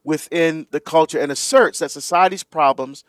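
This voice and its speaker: Relaxed talk-radio host, higher voice. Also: male voice, moderate pace, no echo, clean studio sound. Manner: relaxed explaining with fillers and laughs.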